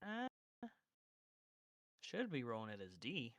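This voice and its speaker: A man's voice: mid-range voice, huge pitch swings, slow pace, fairly bright tone, dry recording. A man chatting casually as a player in a tabletop roleplaying game.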